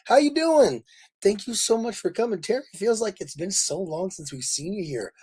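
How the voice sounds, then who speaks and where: Raspy-voiced man hosting a chatty livestream. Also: high voice, expressive, normal speed, darkish tone. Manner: effusive, jokey, rambling. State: voice worn from talking a lot. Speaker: livestream host. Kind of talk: casual chat with live viewers.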